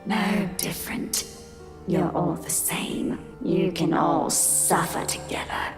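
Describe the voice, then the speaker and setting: A woman voicing a menacing, mocking villainess in a horror visual novel, slow and theatrical in delivery. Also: lowish voice, steady tone, reverberant, over music. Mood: mocking menace.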